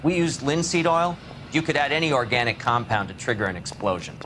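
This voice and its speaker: A man's voice: mid-range voice, fairly even intonation, fairly quick, bright, forceful tone, a little hiss or hum, close to an everyday voice. A man with an American accent playing an earnest, wry police forensics expert in a video game, explaining things eagerly. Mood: explanatory. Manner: explanatory, technical.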